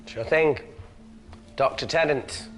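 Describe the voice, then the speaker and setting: A man's voice: on the high side, slow pace, soft background music. A man playing a flippant, sardonic doctor in a screen drama, blunt and offhand. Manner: wry, offhand. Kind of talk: naturalistic screen drama acting.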